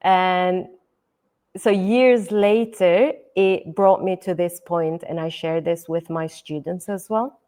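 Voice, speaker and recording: Middle-aged woman from Turkey, native Turkish speaker; unhurried, thoughtful interview guest, filler-heavy. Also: on the low side, steady tone, mellow. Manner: thoughtful, many fillers, repeats "et cetera". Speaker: guest educator. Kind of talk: podcast interview.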